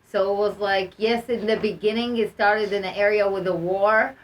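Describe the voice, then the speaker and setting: A woman's voice: medium pitch, fairly even intonation, moderate pace. A young woman from Egypt, chatting casually as a podcast guest and leaning on fillers like "like" and "you know.". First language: Arabic. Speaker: guest, musical artist. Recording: roomy sound, mic at a distance, faint noise.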